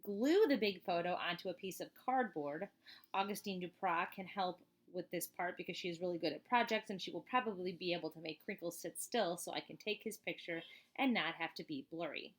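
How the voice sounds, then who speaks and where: A woman reading a children's book aloud as a teacher, in an expressive, chatty way with casual asides. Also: lowish voice, a little fast. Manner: expressive, chatty, emphatic on list items.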